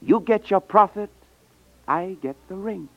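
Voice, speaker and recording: A man's voice: sits high, unhurried, gravelly, a bit bright, no room sound, exaggerated voice. A man acting in a 1950s radio drama as a brash, pushy, slangy salesman feigning honesty. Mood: brisk persuasive. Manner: slangy, insistent. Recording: muffled 1950s radio recording.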